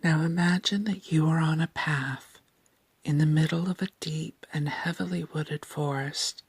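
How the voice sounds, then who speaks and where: A woman narrating a scripted guided meditation in a soft, breathy, near-whispered voice, calm, deliberate and soothing. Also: sits low, no echo.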